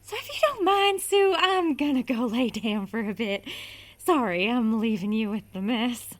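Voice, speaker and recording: An actress playing a frazzled cook who has gone dazed and eerily calm. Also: female voice, a little high, normal speed, lightly acted. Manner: dazed, dreamy and unsettled. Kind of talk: audio drama acting.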